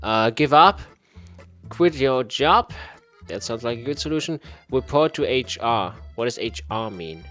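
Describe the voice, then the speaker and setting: A man gives casual game commentary as a channel host, his mid-pitched voice moving up and down in a lively way. Faint music plays behind him. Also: unhurried.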